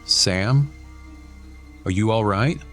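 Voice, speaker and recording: A man acting a devoted husband and father in an audio drama. His voice is deep and slow, gentle and reassuring, and sits a little way from the mic. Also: distant mic, music in the background. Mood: concerned.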